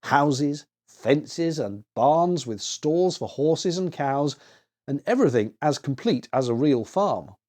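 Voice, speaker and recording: A man's voice: a little high, animated, slow, darkish tone. A man reading a children's story aloud as narrator, expressively and with dramatic emphasis.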